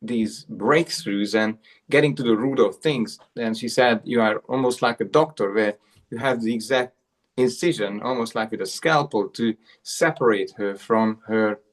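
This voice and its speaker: A man's voice: lowish voice, moderate pace. A man in his forties from Hungary, a coach telling his life story as an interview guest, with frequent fillers and repeated words. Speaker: guest, self-worth coach.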